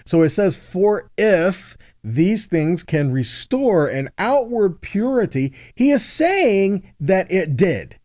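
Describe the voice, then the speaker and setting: A man's voice: sits high, sing-song, slow, few pauses, a little mellow, dry recording, studio quality. A man giving a sermon-style Bible lesson, reading scripture aloud and explaining it, repeating key phrases for emphasis and checking in with short tag questions. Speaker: Bible teacher.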